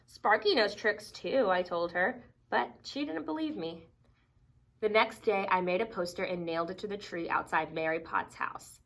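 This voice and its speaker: A woman reading a children's picture book aloud expressively, with dramatic emphasis and deliberate pauses. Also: on the low side, creaky, a bit bright. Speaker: storybook reader.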